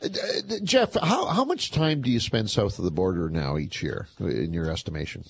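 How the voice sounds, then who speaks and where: A man's voice: deep voice, very animated, moderate pace, creaky, husky. A Canadian man hosting a radio interview, chatty and enthusiastic, with many fillers. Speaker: radio host.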